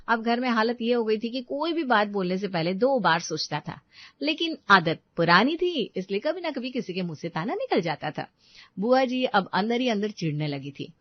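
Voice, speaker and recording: A woman narrating a cartoon moral story, with an expressive, scolding tone when she speaks as the mother-in-law. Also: mid-range voice, no room sound, dull audio. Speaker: narrator and voice actor.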